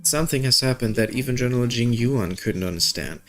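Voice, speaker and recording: Low-voiced man reading game story aloud. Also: expressive, fairly quick. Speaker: gaming video creator. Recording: music behind the voice.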